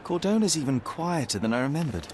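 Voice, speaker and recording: An actor playing a young, logical detective in a video game, dry, precise and dismissive. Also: male voice, a little high, big pitch swings, quick pace, noisy background. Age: young adult. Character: young Sherlock Holmes, cool, logical, dry. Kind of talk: video game cutscene voice acting.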